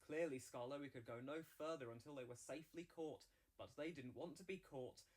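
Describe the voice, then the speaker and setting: A man's voice: medium pitch, a little fast. A man narrating a children's storybook from a script.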